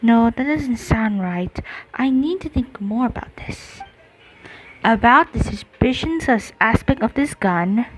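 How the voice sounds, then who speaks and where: A woman game streamer reading dialogue aloud during gameplay, halting and correcting herself as she goes. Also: a little high, lively intonation, poor audio.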